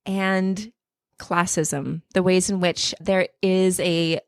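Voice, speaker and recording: A woman's voice: lowish voice, level tone, slow pace, smooth, no echo, clean studio sound. A woman from the United States in her thirties, co-hosting a casual podcast with bubbly, giggly, playful teasing. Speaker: podcast co-host.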